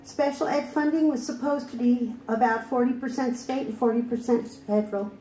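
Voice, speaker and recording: A woman's voice: on the high side, moderate pace, dark tone. A woman, a former school district director, reading prepared public testimony firmly and asking pointed questions. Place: hearing room. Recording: echoey room with faint background noise.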